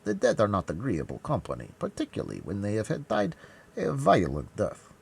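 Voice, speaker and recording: Man voicing a grave, low musketeer, slightly creaky. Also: wildly swooping pitch, fairly quick, darkish tone, heavily acted. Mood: grave, dry. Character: grave, world-weary male musketeer, reserved and sardonic.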